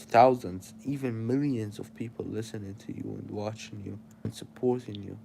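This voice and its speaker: A man's voice: on the low side, vocal fry, warm tone, slight background noise. A young man with an Arabic accent, sleepy and tired, preaching casual life advice straight to his livestream chat.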